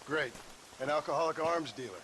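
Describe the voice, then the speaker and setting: A curt, hard-bitten gunslinger in a Western video game. He talks drily and bluntly in a slightly high voice, with clear noise behind. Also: expressive, a little fast, sharp tone, rough recording. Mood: impatient. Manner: dry, blunt, unimpressed. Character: tough, curt, world-weary cowboy gunman. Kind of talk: dramatic video game acting.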